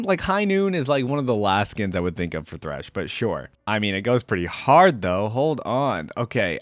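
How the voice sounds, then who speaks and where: Man with animated, swinging pitch, reacting casually. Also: no room sound, clean studio sound. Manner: mulls aloud, informal, opinionated. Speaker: reaction video host. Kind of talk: casual unscripted reaction commentary.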